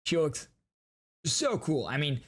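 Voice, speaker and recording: A man's voice: fairly high pitch, big pitch swings, takes it slowly, breathy edge. A man hosting a gaming channel, giving enthusiastic, casual reaction commentary and reading out game details. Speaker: gaming YouTuber host.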